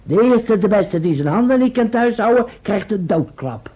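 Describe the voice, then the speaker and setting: A man's voice: sits high, expressive, moderate pace, breathy edge. An actor playing an eager, combative, blustering man in a radio play. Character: eager, combative man offering armed escort. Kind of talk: old Dutch radio play acting. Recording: muffled old radio recording.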